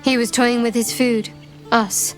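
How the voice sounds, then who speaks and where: A woman voicing a guarded, knowing adventurer companion in a fantasy game, with a cool, deliberate delivery. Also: on the high side, level tone, faint music behind, close to an everyday voice. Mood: cool, warning. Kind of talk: scripted game dialogue.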